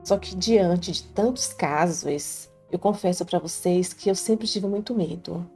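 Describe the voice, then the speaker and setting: Brazilian woman narrator, low and warm, earnest first-person telling over faint music. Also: a little slow. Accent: Brazilian Portuguese. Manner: earnest, heartfelt confiding tone. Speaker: storyteller. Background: faint background music.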